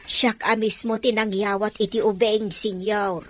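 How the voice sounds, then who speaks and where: An actress with an Ilocano accent playing a deferential house servant who is anxious to please, in a drama. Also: female voice, medium pitch, level tone, unhurried, fairly bright tone. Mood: nervous, defensive.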